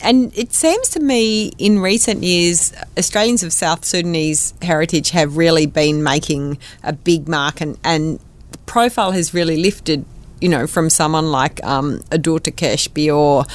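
A woman host with a low voice puts long, careful questions to her guest. She is calm and encouraging, and she softens her questions with small hedges. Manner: measured, encouraging, hedges with "I guess". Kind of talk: interview questions.

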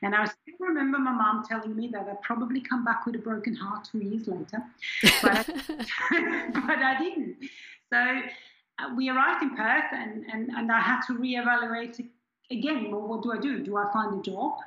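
A woman's voice: on the high side, normal speed, bright, forceful tone, roomy sound, lo-fi sound, slight background noise. A woman in her forties from Switzerland, reflectively telling her story as an interview guest with many restarts. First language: German. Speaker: scientist guest. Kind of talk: candid personal interview.

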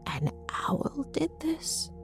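A woman narrator plays a terrified mother lost in night terrors. She speaks in breathy, drawn-out whispers and strained pleas, confused and full of dread, in an audio horror story with faint music. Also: high voice, very animated, voice pushed up, heavily acted. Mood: weary shock. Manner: drawn-out, gasping, pleading.

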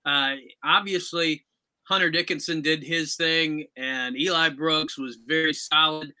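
Very bright, higher-voiced male sports host. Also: fairly even intonation, unhurried, clean tone. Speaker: podcast host, interviewer. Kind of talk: conversational sports interview.

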